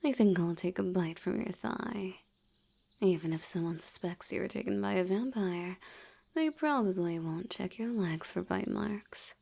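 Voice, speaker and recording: A woman voicing a seductive, commanding vampire hypnotist in an intimate audio roleplay, soft and breathy, often near a whisper, with a teasing, coaxing manner. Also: fairly low pitch. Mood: amused, teasing. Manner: slow, coaxing, teasingly dominant.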